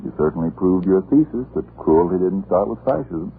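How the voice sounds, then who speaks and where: A man's voice: deep voice, animated, fairly quick, vocal fry, slight background noise. A man working as an announcer, delivering scripted 1940s radio advertising patter. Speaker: radio announcer and sponsor pitchman. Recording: tinny old radio recording.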